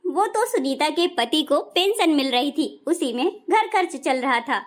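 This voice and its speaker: A woman narrating a family cartoon story in a plain, even tone and also voicing the mother. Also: high-pitched, measured pace. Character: older Indian mother, gentle and doting.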